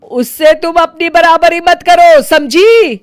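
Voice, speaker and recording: A woman voicing a bossy middle-aged mother-in-law in an acted Hindi story, sharp and commanding. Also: very high-pitched, takes it slowly, dry recording, studio quality, soft background music, pitched above the performer's own voice. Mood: scolding, angry.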